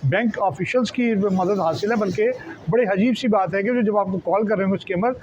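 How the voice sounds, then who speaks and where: High-pitched Pakistani man, halting explainer. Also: darkish tone. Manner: halting, wordy, repeats and restarts words often. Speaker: senior journalist, interviewee. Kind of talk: explanatory interview answers. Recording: dull lo-fi sound, faint background noise.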